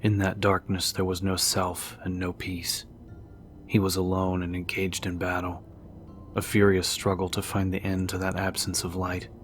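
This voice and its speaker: Very deep-voiced male narrator with music behind. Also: monotone, moderate pace. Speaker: audiobook narrator. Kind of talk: dramatic scripted narration.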